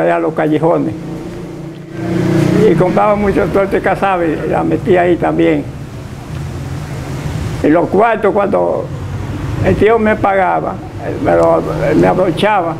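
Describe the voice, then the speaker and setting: An elderly man with a Dominican accent and a gravelly voice, slowly recalling his life in a living-room interview, often repeating and restarting his words. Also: high voice, noisy background. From Dominican Republic. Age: older. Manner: halting, repeats and restarts words, short assents. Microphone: lapel mic.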